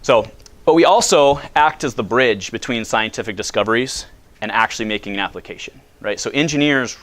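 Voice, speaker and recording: A man in his thirties from the United States, giving a loud, casual talk to high school students and asking them questions. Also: fairly quick. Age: 30s. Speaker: guest speaker.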